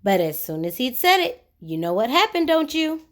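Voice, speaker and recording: A woman's voice: high voice, sing-song, normal speed, fairly bright tone. A woman narrating a children's storybook expressively, putting questions to young listeners. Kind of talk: animated read-aloud narration of a children's storybook.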